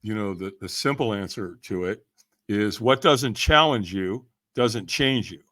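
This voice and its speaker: An older man telling stories as an interview guest in an energetic, emphatic, slangy way, acting out remembered conversations. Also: fairly low pitch, measured pace. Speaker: podcast guest, firewalking event leader. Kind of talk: energetic storytelling in casual interview.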